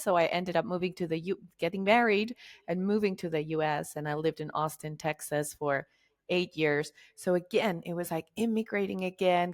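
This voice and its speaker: Argentine woman facilitator in her forties, lower register, chatty guest. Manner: chatty, jokey and laughing easily. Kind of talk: conversational interview.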